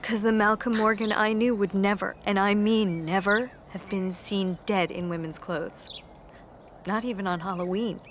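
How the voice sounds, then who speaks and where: A woman's voice: mid-range voice, steady tone, normal speed, a little hiss or hum, natural voice. An actress playing a troubled, searching daughter in a naturalistic radio drama, direct and wry in the way she talks. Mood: subdued, confiding.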